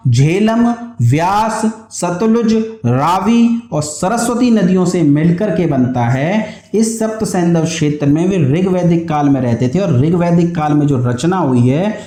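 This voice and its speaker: A high-voiced Indian man teaches an exam-prep class in a lecturing style. He is didactic, restating key points so that they can be memorised. His voice carries a noticeable roomy echo. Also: animated, a little slow, warm tone. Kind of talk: lecture explaining exam questions.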